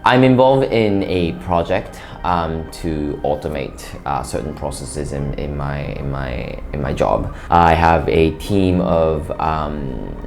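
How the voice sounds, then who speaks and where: Japanese-born man, low voice, hesitant. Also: slow, hardly any pauses, darkish tone, soft background music. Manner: hesitant, many fillers and restarts. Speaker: interview guest, bank operations worker. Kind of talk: casual interview answers.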